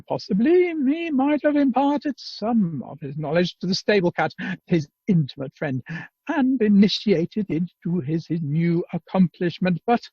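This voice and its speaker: A man acting an earnest, flustered gentleman inventor in a radio play, pedantic and hesitant, with stammered repeats. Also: on the high side, husky, no room sound, heavily acted. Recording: dull, lo-fi video call audio.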